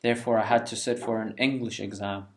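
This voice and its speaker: A man's voice: fairly low pitch, fairly even intonation, quick pace. A man in his twenties from Iraq, a junior doctor answering interview questions in a friendly, candid way. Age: 20s. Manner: friendly and candid, laughs lightly. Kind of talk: relaxed conversational answers.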